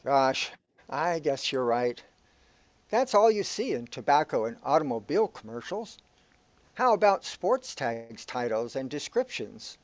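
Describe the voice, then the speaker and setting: A man's voice: medium pitch, sing-song, unhurried. A man playing a bewildered, aggrieved man trapped in an absurd afterlife, complaining wordily in amateur sci-fi audio comedy style. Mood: resigned. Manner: complaining, wordy. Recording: echoey room, dull lo-fi sound.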